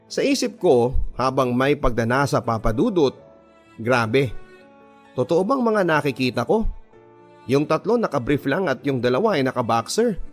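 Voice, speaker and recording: A Filipino man tells a first-person story as a radio drama narrator. His medium-pitched voice is dramatic and confiding, reading from a script. Music plays behind him. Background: music bed.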